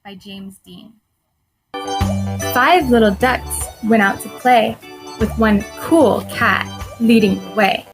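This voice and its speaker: Woman storyteller with a higher-pitched voice. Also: slow, long pauses, over music. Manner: encouraging, clearly addressing small children. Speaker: children's read-aloud storyteller. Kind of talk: animated storybook narration for young children.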